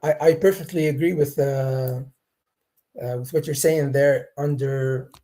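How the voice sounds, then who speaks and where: A caller to a live debate answers with halting, hesitant speech. He has a mellow, darker voice at an ordinary pitch and falls back on fillers and restarts as he tries to keep up. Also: steady tone.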